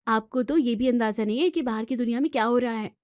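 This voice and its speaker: A woman's voice: on the high side, quick pace, darkish tone, no room sound, studio quality, natural voice. A woman playing an outspoken young student in a family drama, scolding and arguing with emphatic questions. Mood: firm, warning. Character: outspoken young student daughter defending her sister-in-law. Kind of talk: Hindi family drama voice acting.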